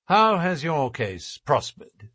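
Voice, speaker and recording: A man voicing a calm, self-assured detective in a measured, dry manner. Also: fairly high pitch, husky, no echo, full character voice. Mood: cool.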